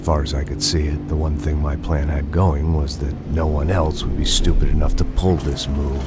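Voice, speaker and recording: Loud music surrounds a rock-bottom deep American voice, a jaded, self-loathing video game bodyguard. He speaks in terse, deadpan noir lines with weary cynicism. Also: moderate pace. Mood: weary, sardonic. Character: world-weary, self-loathing, hard-drinking male bodyguard, cynical antihero. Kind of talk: noir scripted narration and game cutscene dialogue.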